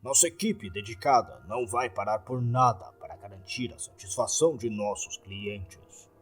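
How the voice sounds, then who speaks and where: A man's voice: on the low side, moderate pace, slightly rough. A man with a Brazilian accent voicing a formal, authoritative hotel owner in a horror story. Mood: courteous. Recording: background music under the voice.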